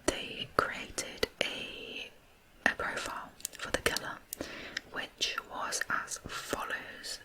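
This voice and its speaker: Casting: whispered true crime narrator for ASMR, hushed and unvoiced, very slow, deliberate phrasing. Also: poor audio.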